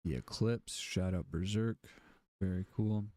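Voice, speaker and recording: A man on a casual livestream, repeating words aloud as he learns, laid-back and unhurried. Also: low voice, mellow, dry recording, clean studio sound.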